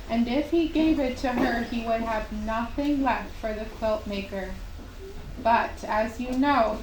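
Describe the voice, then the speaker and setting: A woman telling a scripted children's story in a gentle, deliberate way, as at a puppet show. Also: on the high side. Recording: roomy, distant microphone, faint background noise.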